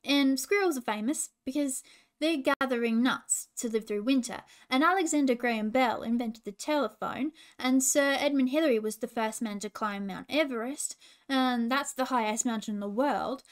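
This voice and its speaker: Woman doing a high-voiced, frank kangaroo pal. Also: normal speed, close to an everyday voice. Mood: helpful, explaining. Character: friendly kangaroo pal, curious, frank and helpful.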